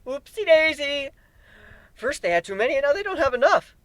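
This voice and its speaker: A man's voice: very high voice, very animated, fairly quick, fairly bright tone, no echo, voice pushed up, full character voice. A man voicing a gleeful, childishly mad young griffin warrior with sing-song baby talk in a dramatic fantasy story reading. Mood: manic glee.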